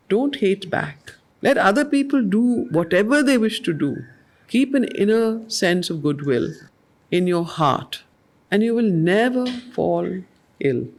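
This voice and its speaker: A woman leading a study circle, reading verse slowly and then explaining it to the group in an earnest, questioning way. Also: medium pitch. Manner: slow, measured recitation that repeats lines of verse, then conversational explaining; earnest, asks the group questions and answers them herself. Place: weekly study group gathering.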